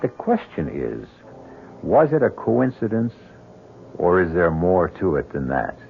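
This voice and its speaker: A man as the ominous, philosophical storyteller of a 1970s radio mystery, deliberate and theatrical, in muffled broadcast sound. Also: low-pitched, sing-song, creaky, a little hiss or hum, heavily acted. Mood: ominous, grave. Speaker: host and narrator of a radio mystery series. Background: faint music.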